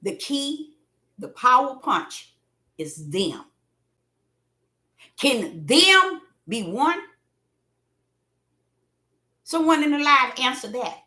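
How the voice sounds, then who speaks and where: A woman preaches on a livestream, repeating her points and building to fervent cries. Her voice is bright and high, and it moves freely up and down. She speaks slowly, and the room around her echoes. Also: big pitch swings. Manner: preachy, repetitive, rising to fervent shouts. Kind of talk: livestream sermon.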